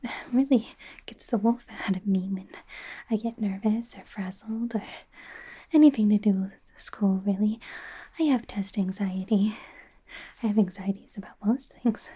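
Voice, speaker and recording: A woman playing an anxious, awkward young female werewolf medical student in a soft, breathy, whispered ASMR roleplay, speaking hesitantly with stammers. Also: mid-range voice, steady tone, normal speed, long pauses, close to an everyday voice. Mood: embarrassed, confiding. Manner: hesitant, stammering, flustered and gentle.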